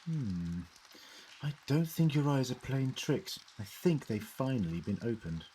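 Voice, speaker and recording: A man's voice: mid-range voice, expressive, a little slow, warm tone, slight background noise, lightly acted, low-quality recording. A man acting in an audio drama as an eccentric, inquisitive Doctor who muses precisely as he investigates. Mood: inquisitive, musing. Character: male time-travelling Doctor, curious, eccentric, quick-thinking investigator.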